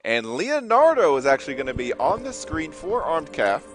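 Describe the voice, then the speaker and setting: A man from Texas with a Texan accent, co-commentating a fighting-game tournament in relaxed, chatty banter. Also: a little high, normal speed, soft background music. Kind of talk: live esports commentary.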